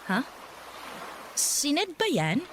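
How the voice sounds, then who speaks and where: A woman's voice: high-pitched, huge pitch swings, very slow, noisy background, full character voice. A woman playing an uneasy, suspicious young woman in love in a radio drama, probing hesitantly with a Filipino accent. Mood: curious, suspicious.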